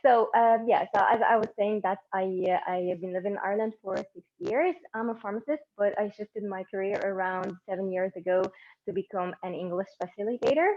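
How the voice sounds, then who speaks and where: Woman teaching a relaxed online class. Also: medium pitch, fairly quick. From Egypt. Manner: relaxed and encouraging, with many fillers and restarts. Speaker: English conversation teacher. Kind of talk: live online conversation class. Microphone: laptop or phone mic on a video call. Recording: slightly dull online stream audio.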